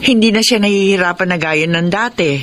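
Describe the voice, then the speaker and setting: A woman playing a worried, gentle mother. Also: mid-range voice, a little fast, slight background noise, natural voice. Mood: tender. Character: worried, caring mother in the province. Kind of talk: radio drama acting.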